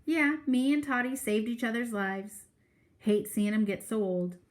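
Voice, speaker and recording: A woman's voice: on the high side, a little slow, voice pushed up, lightly acted. Woman reading as folksy old country man. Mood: proud, wistful. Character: old Southern country man, proud, folksy coon-hunting storyteller.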